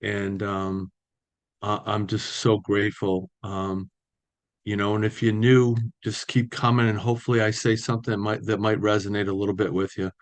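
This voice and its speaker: A man, sharing his recovery story at a meeting over a video call, halting with many fillers. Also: lowish voice, level tone. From Boston area, US. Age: 60s. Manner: halting, many ums and you-knows, restarts. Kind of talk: personal recovery share.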